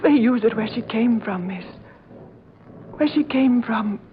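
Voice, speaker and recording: A superstitious servant woman, played by an actress in a vintage radio horror play. Her voice sits fairly high and comes out breathy and fearful, in halting, deferential phrases. The recording is muffled, with clear noise behind her. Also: natural voice. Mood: grave, fearful. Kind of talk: old radio horror drama acting.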